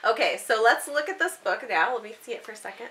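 A woman's voice: fairly high pitch, animated, fast, fairly bright tone. A woman in her thirties chatting casually as a mother with her small child, asking encouraging questions and echoing the answers. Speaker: mother and book vlogger. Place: small book-lined room at home, indoors.

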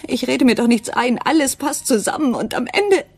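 A woman playing an anguished, frightened wife and mother in a radio drama, speaking haltingly and intimately, at times near a whisper. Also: high voice, lively intonation, a little mellow, heavily acted. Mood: fearful, urgent. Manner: breathy, halting, dropping into whispers. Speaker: actress in a crime radio play.

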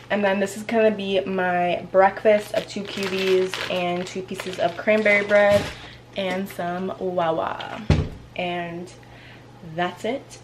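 A young woman talking in a slow, easygoing way, close to her phone camera in a small apartment. She chats casually about her day and often lets her voice trail off softly. A faint room hum sits behind her. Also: mid-range voice. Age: young adult. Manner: chatty, relaxed, trails off softly at times. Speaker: college student vlogger. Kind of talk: casual day-in-the-life vlog. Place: small apartment and office, indoors. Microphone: phone camera held close.

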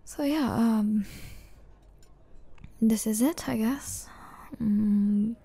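A woman playing a tender, sad young girlfriend in an intimate ASMR roleplay, speaking softly and hesitantly in a breathy half-whisper. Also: fairly high pitch, exaggerated voice. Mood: wistful, awkward. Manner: hesitant, soft, halting.

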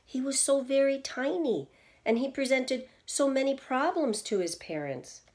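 A middle-aged woman reading aloud from a children's book like a teacher, addressing young listeners in a deliberate, explanatory way. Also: high-pitched, expressive, darkish tone. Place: indoors at home, seated in an armchair in a small room.

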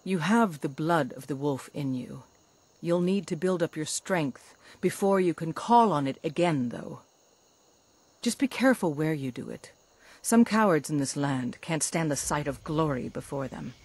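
A woman's voice: low-pitched, animated, a little slow, a little mellow, husky, exaggerated voice. A woman playing a fierce, proud huntress warrior in a video game, blunt and confident. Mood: confident, grim relish. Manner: blunt, confident, scornful of cowards. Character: fierce, proud huntress warrior, werewolf loyal to her pack. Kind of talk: video game character dialogue.

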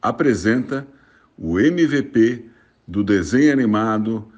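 Guy doing a scripted announcement, lowish raspy voice. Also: animated, measured pace, darkish tone, highs cut off.

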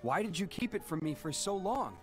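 Game casting: a young warrior hero, fairly high for a man, earnest, animated, music underneath. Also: brisk. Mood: troubled. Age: young adult. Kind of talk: video game cutscene acting.

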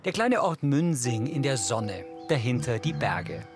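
A man's voice: mid-range voice, very animated, unhurried. Man, scripted audio description, narrator, music playing underneath. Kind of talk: scripted narration of screen action.

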